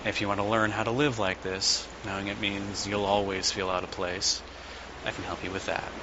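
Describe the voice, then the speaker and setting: A man playing a haunted, compassionate psychiatrist in an audio drama, confiding in an earnest, halting way. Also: on the low side, level tone, muffled recording, background noise, lightly acted. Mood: earnest, quiet. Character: world-weary compassionate male psychiatrist, haunted by his past.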